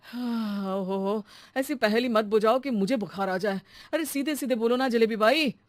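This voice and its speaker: A flustered middle-aged cartoon husband, played by a woman who keeps begging for peace. The voice is a touch high and recorded dry, close to the mic. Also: normal speed, no room sound, lightly acted. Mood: exasperated. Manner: flustered, pleading to be left alone. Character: exasperated middle-aged male homeowner. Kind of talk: cartoon story dubbing.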